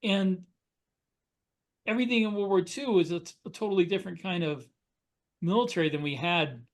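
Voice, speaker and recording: Higher-voiced man in casual discussion. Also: moderate pace. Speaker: podcast co-host and writer.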